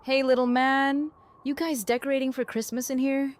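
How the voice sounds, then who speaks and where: A woman's voice: sits high, flat delivery, moderate pace, close to an everyday voice. A woman playing a casual, friendly family friend who talks playfully with children in an audio drama. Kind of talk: fan audio drama acting.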